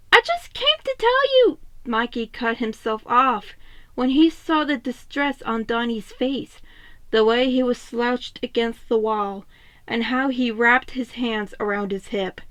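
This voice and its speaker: Casting: female story narrator, high-pitched and slow-paced, expressive delivery. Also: no room sound. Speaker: fan fiction narrator.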